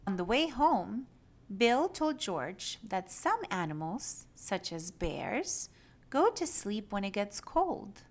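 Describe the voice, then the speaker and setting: Very slow, animated woman reading to children. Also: medium pitch. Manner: animated, acts out animal sounds and exclamations. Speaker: children's storybook reader. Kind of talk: expressive read-aloud storytelling for young children.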